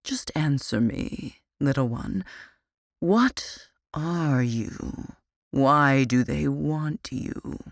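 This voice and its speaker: A narrator voicing a cruel, sadistic male demon captor in an audiobook, speaking slowly and menacingly. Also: medium pitch, animated, gravelly, no echo, clean studio sound, full character voice. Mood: silkily menacing. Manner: slow, drawn-out menacing delivery. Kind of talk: audiobook character voice.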